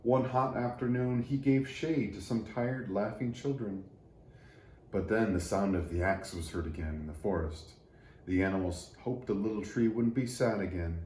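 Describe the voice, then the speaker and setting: A man reading a children's story aloud in an expressive storytelling manner. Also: fairly low pitch, normal speed. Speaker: storybook reader for church children. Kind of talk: scripted children's story narration. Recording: echoey room, faint background noise.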